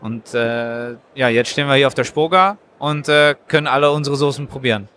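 A man who makes sauces, answering interview questions at a trade fair stand in a halting, explanatory way. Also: medium pitch, steady tone, bright, forceful tone, a little hiss or hum. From Germany. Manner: halting, explanatory, frequent hesitations. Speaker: sauce maker, guest. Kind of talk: casual interview.

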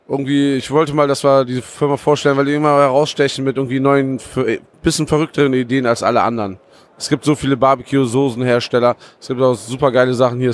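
A man co-hosting a podcast, talking in an enthusiastic, slangy, rambling way at a trade fair stand. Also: mid-range voice. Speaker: podcast co-host. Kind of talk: casual chat.

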